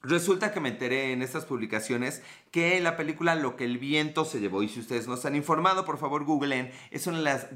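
A man's voice: on the high side, fairly bright tone. A Mexican man with a Mexican accent hosting a casual livestream, greeting viewers by name and joking with profanity and sarcasm. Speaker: livestream host.

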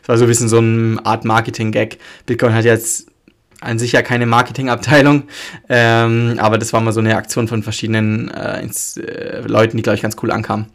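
A man's voice: mid-range voice, monotone, fairly quick. A man with a German accent who has a cold and a stuffy nose, hosting a solo podcast in a loose, rambling way with many fillers and self-corrections. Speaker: podcast host. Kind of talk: casual solo podcast talk.

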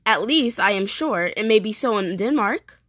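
A woman playing an anguished, vengeful young prince in a declamatory Shakespearean stage monologue with dramatic pauses. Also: medium pitch, lively intonation, a bit bright, no echo, clean studio sound. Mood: bitter, outraged. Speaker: performer rehearsing a soliloquy. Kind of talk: theatrical Shakespearean monologue, repeated takes.

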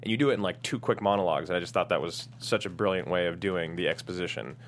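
A man's voice: deep voice, fast talker, slight background noise. A man chatting casually as a panelist, in an informal and appreciative manner. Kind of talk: casual chat.

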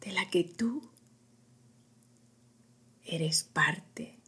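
Female meditation guide, lowish pitch, extremely breathy near-whisper, soft and soothing phrasing. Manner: slow, hushed delivery in short phrases with long pauses.

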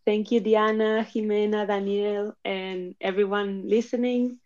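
A woman's voice: medium pitch, little pitch movement, measured pace, a little mellow. A woman in her thirties, born in Argentina and raised in Spain, chatting casually as a guest with frequent fillers. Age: 30s. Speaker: guest, NFT platform founder. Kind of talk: casual chat. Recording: dull lo-fi audio.

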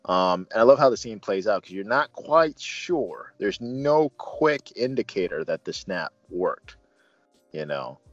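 A low-pitched man chats through a movie review as host. He is conversational and adds playful asides. The sound is a little dull, with music behind him. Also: sing-song, measured pace, no echo. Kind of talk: casual film discussion, recapping scenes.

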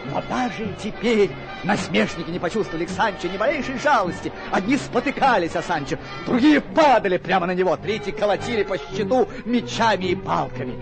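An actor narrating a classic comic tale in a radio play, with precise, wry, theatrical storytelling. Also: male voice, high-pitched, expressive, a little fast, over music.

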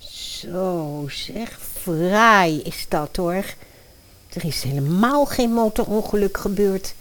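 A woman's voice: medium pitch, lively intonation, takes it slowly, slight background noise. An actress playing a spiteful, scheming stepmother in a comic fairy-tale audio drama, muttering and exclaiming to herself. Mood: indignant.

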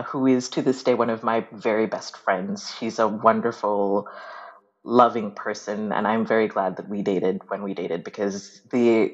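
A young woman from Uganda, speaking thoughtfully as a guest in a candid interview, often laughing mid-sentence. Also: very deep voice. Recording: dull lo-fi audio.

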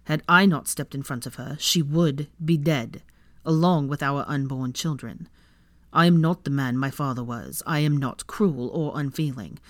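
Audiobook role: stern, composed young husband, low-pitched and deliberate voiced by a female reader. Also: darkish tone, no room sound. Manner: measured, firm. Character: stern, calm, protective young husband and father.

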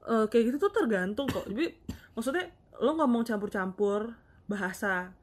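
A young woman co-hosting a casual podcast chat, talking in a measured, reflective way with frequent agreeing murmurs. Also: fairly high pitch. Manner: measured, reflective, many backchannels. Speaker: podcast co-host.

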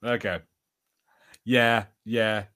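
A man's voice: lowish voice, flat delivery, extremely slow, bright, forceful tone. A man co-hosting a podcast in casual banter, with an easy, agreeable manner. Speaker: podcast co-host.

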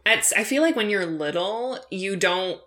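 A woman's voice: medium pitch, expressive, a little slow, fairly bright tone. A woman co-hosting a podcast, chatting casually, reflecting and laughing often. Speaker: podcast co-host. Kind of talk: casual chat.

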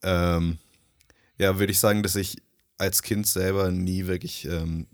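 Deep-voiced young man hosting a podcast. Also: flat delivery, unhurried, no room sound, clean studio sound. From Nepal. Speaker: podcast host. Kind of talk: casual conversation.

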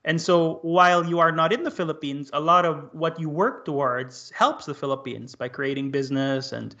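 A man's voice: fairly high pitch, moderate pace, sharp tone. A man hosting a podcast interview, speaking thoughtfully with lots of "like" and "uh". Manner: thoughtful, filler-heavy with "like" and "uh". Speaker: podcast host. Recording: muffled lo-fi audio.

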